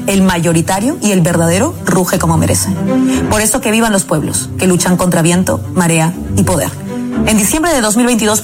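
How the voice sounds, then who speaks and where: Peruvian woman, fiery scripted commentary, rapid delivery, music bed underneath. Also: mid-range voice. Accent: Peruvian Spanish. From Peru. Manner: impassioned, declamatory, rhetorical refrains. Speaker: political commentator. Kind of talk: scripted opinion monologue.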